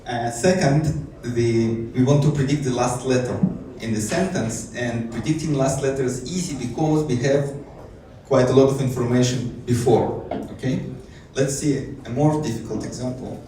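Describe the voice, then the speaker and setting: A man's voice: medium pitch, moderate pace, warm tone. Man speaking in an echoey conference hall. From Israel. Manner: hesitant, many fillers and restarts, light self-amused laughs. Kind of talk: technical conference talk. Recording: echoey hall, distant mic, faint noise.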